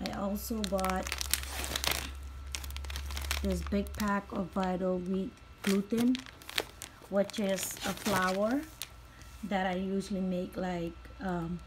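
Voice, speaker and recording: A mother chatting casually off camera while showing her grocery haul, slow and full of ums. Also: mid-range voice, background noise. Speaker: vlogger, mother. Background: crinkling packaging and clicks.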